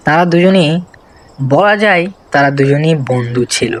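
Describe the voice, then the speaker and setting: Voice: mid-range voice, expressive, moderate pace, a little hiss or hum, low-quality recording. A storyteller giving scripted narration for a cartoon animal tale.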